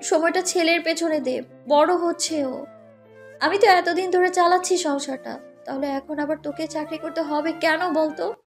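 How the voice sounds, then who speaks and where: Woman as protesting young sister in audio drama, extremely high voice, faint music underneath. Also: steady tone, normal speed. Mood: annoyed, insistent. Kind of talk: audio story acting.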